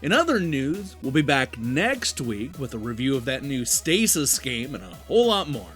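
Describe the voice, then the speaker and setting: A man delivering a scripted video game review as the show's host, with emphatic, deliberate phrasing. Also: medium pitch, very animated, a bit bright. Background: background music.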